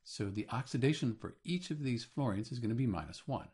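A man with a husky voice narrating an explanatory tutorial as a teacher, step by step in plain words. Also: medium pitch, expressive, brisk, darkish tone. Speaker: chemistry teacher.

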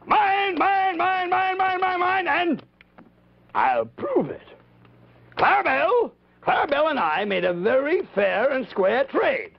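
A man's voice: very high voice, big pitch swings, takes it slowly, gravelly, muffled recording, heavily acted. A man voicing a cantankerous, stubborn old marionette who stammers and sputters indignantly in a children's television puppet show. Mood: possessive, argumentative.

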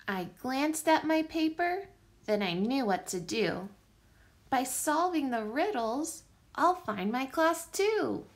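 A woman reads a children's story aloud in a high voice. Her delivery is unhurried and deliberate, with big swoops in pitch. She phrases it clearly, as a teacher does for young listeners. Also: very animated. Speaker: teacher reading a picture book aloud.

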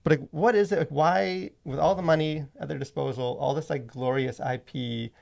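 A man's voice: fairly high pitch, fairly even intonation, normal speed, vocal fry, a little mellow. A man hosting a casual podcast, rambling with many asides into a close studio mic. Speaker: podcast host and movie reviewer. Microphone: close boom-arm studio mic.

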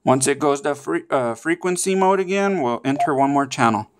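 A man's voice: fairly high pitch, lively intonation, normal speed. A man presenting a casual step-by-step how-to, often checking in with okay and all right. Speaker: tutorial presenter.

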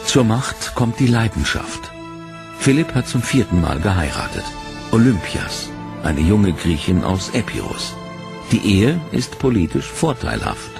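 Male doc narrator, low gravelly voice, lively delivery, music underneath. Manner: dramatic, measured storytelling. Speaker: documentary narrator. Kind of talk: scripted documentary narration.

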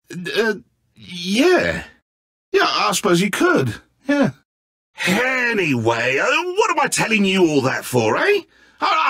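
A man's voice: high voice, sharp tone, breathy edge, no room sound, studio quality, heavily acted. An actor playing a petulant, needy, godlike male villain in a video game, with a British accent and a theatrical, sarcastic, stammering manner. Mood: sheepish, rambling. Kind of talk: video game character acting.

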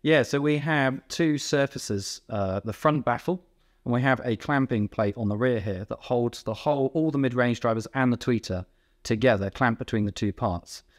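A man in his thirties giving an informal technical explanation, haltingly rephrasing as he goes. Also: medium pitch. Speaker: technical director explaining a product. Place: indoor company workroom.

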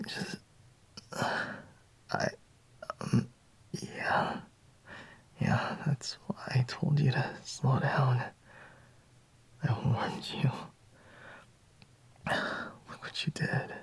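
Casting: male actor for drowsy, half-reluctant boyfriend in ASMR roleplay, very breathy whisper, halting delivery. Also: medium pitch, little pitch movement, heavily acted. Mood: hushed, flustered. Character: sleepy, intimate boyfriend, adult man, half-reluctant.

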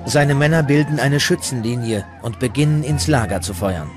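A man's voice: mid-range voice, moderate pace. A man reading scripted documentary narration as the narrator. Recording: loud music bed under the voice. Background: loud music.

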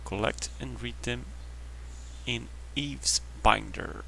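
A man's voice: medium pitch, slow, vocal fry, noisy background. A man giving casual let's play commentary, talking to himself in short phrases as he plays. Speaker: game streamer. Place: behind: game music.

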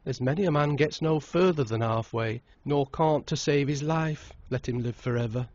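A man's voice: mid-range voice, big pitch swings, normal speed, a little mellow, breathy edge, no echo, muffled recording, voice pushed up. A man putting on a chatty village wife. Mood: contrary. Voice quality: broad, homely dialect speech. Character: chatty village woman, middle-aged, gossiping.

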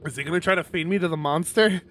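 A man reading a visual novel aloud on a stream in an expressive narrating style. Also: a little high, fast.

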